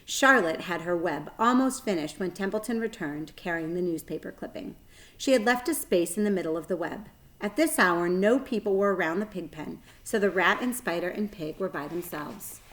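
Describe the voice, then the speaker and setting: A woman's voice: on the low side, animated, moderate pace. A woman reading a children's story aloud as narrator, with expression.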